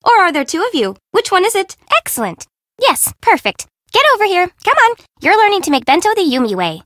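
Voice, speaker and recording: Perky young bento shop girl for a video game, grown woman, high bouncy voice, sassy and teasing, super informal. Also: sing-song, a little fast, a bit bright, dry recording, clean studio sound, full character voice. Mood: teasing, brisk. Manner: bubbly, teasing, sarcastic, informal. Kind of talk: video game character voice acting.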